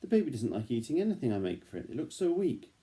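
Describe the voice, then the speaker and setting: A worried storybook mother, acted out by a man, frets over her weak baby. The voice is mellow and pushed upward into a plaintive wail. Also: mid-range voice, sing-song, fast talker, pitched above the performer's own voice, close to an everyday voice. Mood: fretful wailing. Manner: plaintive, fretful.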